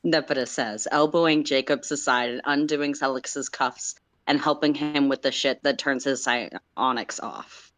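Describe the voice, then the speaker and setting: A woman's voice: rumbling low, fairly even intonation, a little slow, few pauses, a bit bright. A woman co-reading on a livestream, narrating a story informally. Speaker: livestream co-reader.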